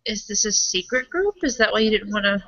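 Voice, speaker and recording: A woman with a bright, crisp-edged voice speaks casually in character at a tabletop game. Her pitch sits in a middle range. The recording is a little dull and lo-fi. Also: expressive, a little fast. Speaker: tabletop roleplaying player.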